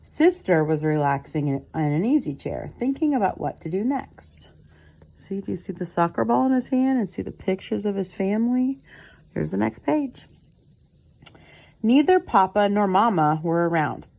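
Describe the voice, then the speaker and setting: A woman's voice: medium pitch, moderate pace, gravelly, poor audio. An older woman, a grandmother, reading a bedtime story expressively to a child and adding casual comments of her own. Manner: expressive, commenting as she reads.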